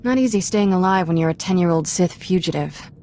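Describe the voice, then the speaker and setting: A woman plays a sardonic young trainee in a video game cutscene. Her voice is fairly low, flippant and casual, over noticeable ambient noise. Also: lively intonation, a little fast, dark tone, close to an everyday voice. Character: sardonic young female Jedi apprentice, former street urchin.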